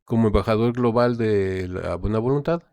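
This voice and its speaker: A man's voice: lowish voice, darkish tone, clean tone, no echo. Dry-sounding man. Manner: hesitant, filling pauses with "eh" and "este" while translating. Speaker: reaction channel host. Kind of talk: casual live translation and commentary.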